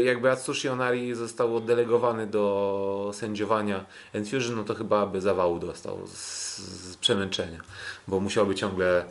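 A man hosting a podcast, giving a casual sports recap in a halting way with frequent filled pauses. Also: lowish voice. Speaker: podcast host.

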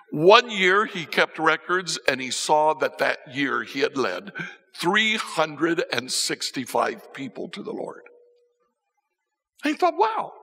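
An older man preaching from a church stage, his voice gravelly and slightly husky with a bright edge. He talks unhurriedly, and his pitch rises and falls as he tells his stories. The sound carries a long reverb from the hall. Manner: storytelling, swings between soft and emphatic. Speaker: pastor. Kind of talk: sermon. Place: church stage with band instruments.

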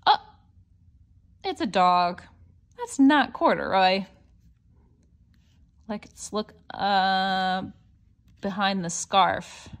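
A woman with a fairly high voice reads a children's picture book aloud at a very slow pace. She phrases things deliberately and expressively for young listeners, posing simple questions and explaining as she goes. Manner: expressive, questioning, with gasps and hushed asides. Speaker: storybook reader for young children.

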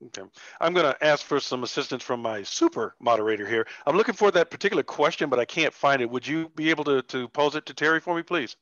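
Male moderator, relaxed, dry and slightly dull call audio. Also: a little high, quick pace, no room sound. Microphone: video call.

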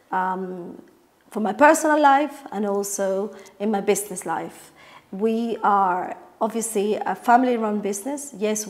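Middle-aged Colombian woman explaining her business. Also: medium pitch. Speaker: real estate agent, family business owner. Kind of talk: promotional company talk to camera. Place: living room, on a sofa indoors.

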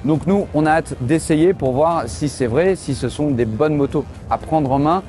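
A middle-aged man, a motorcycle journalist, walking a trade show and explaining the bikes in a lively way. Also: on the high side, normal speed. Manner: lively, explanatory, tosses in fillers. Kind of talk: trade show walkthrough and interviews. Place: busy indoor exhibition hall. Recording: background music.

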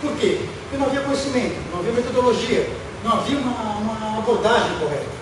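A middle-aged Brazilian man giving a public lecture in a deliberate, emphatic way, posing rhetorical questions and listing points. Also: sits high, expressive. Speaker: lecturer, former UFO researcher. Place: auditorium stage, indoors. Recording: echoey hall from far off, noisy.

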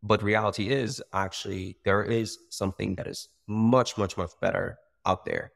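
A young man speaks as a guest over a video call. His voice sits very low with a gravelly fry, and he talks in an animated, loose way, full of fillers and self-corrections. He sounds like a startup founder telling his story. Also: normal speed. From Iran. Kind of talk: casual interview. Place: bright room by a window.

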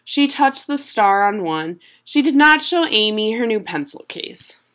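Young woman reading slowly, lively pitch. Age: young adult. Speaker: storybook reader. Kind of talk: children's picture book read-aloud. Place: small room at home.

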